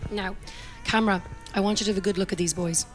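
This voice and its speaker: Hoarse irish lady interviewing, talks fast, faint music behind. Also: mid-range voice, fairly even intonation. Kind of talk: casual interview.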